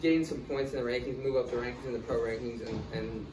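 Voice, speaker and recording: A young man answering interview questions in a relaxed, casual way, full of fillers. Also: medium pitch, fast. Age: young adult. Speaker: guest, tennis player. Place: small room, in doors, seated in armchair. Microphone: far camera. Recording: roomy, distant, noisy.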